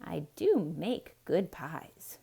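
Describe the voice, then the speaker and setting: A woman acts out a cheerful, naive housemaid from a storybook. Her pitch is raised and swoops widely, and she draws out her words with folksy, self-assured wonder. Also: big pitch swings, drawn-out words, creaky, dark tone, husky, full character voice. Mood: delighted.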